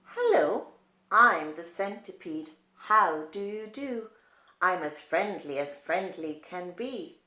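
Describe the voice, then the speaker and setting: A woman's voice: fairly low pitch, expressive, slow pace, sharp tone, heavily acted. A woman voicing a friendly, polite centipede in a children's picture-book read-aloud, chatting to the listener. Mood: friendly, eager. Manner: chatty, greeting the listener with questions. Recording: roomy, echoing indoor sound.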